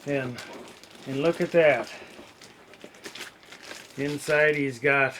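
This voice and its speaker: A man giving a casual how-to narration in a halting, step-by-step way, as an unboxing video presenter. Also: medium pitch, fairly even intonation, a bit bright, slight background noise.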